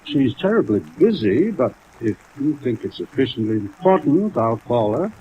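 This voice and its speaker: A man playing a wealthy, genteel, guarded husband in a 1950s radio drama, speaking politely and formally with a smooth evasiveness, in an old recording. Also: mid-range voice, sing-song, moderate pace, close to an everyday voice. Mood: smooth, uneasy. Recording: thin, phone-like old radio recording, rain noise.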